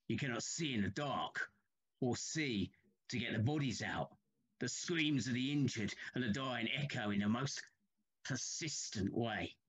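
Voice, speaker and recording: A haunted railway signalman from a Victorian audio drama. His voice is husky and rough-edged, and he speaks with grave, halting deference, like a humble working man carrying a secret trouble. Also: mid-range voice, a bit bright, low-quality recording. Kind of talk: audio play acting.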